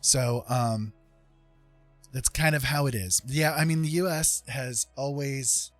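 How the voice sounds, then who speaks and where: A middle-aged American man chatting reflectively on a livestream, speaking earnestly and emphatically with halting pauses. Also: medium pitch, steady tone, no echo, faint music behind. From Indiana, United States. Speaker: livestream host. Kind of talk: casual reflective livestream chat.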